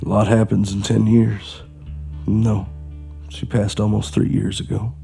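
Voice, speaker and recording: A man playing a gravelly, desperate ex-con. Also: lowish voice, little pitch movement, unhurried, music in the background. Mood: grim. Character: bitter, desperate ex-con father, grim. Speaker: former criminal and father. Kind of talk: amateur audio drama acting.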